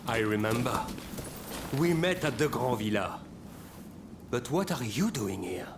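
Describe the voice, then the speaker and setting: An actor playing a resolute, protective French officer in a video game, speaking firmly and formally. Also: male voice, expressive, measured pace. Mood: reassuring. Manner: formal, firm. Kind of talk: video game cutscene acting. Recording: clear rumbling background noise.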